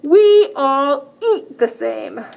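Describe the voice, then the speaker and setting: A woman voicing a chorus of prim, matching pigeons in a slow, chanting, sing-song read. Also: high-pitched, sing-song, creaky, phone-quality sound, exaggerated voice. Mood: proud.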